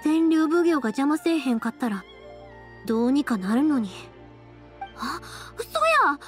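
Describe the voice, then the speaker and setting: A cheerful, energetic young woman from an anime game, speaking in a Kansai accent. Her voice is high and very animated, caring and quick to reassure, with faint music behind it. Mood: determined, reassuring.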